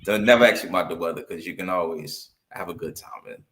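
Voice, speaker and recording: A man in his fifties from New York with a low-pitched voice. He speaks as a guest in a conversational interview, explaining things in a storytelling way and repeating points for emphasis. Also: fast, a bit bright. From Brooklyn, New York. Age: 50s. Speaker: interview guest, accountant and business owner.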